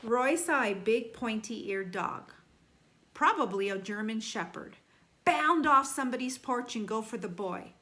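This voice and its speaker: A woman reading a children's novel aloud like a teacher, animated, with deliberate emphasis and dramatic slowing on key phrases. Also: fairly high pitch. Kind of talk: expressive children's read-aloud.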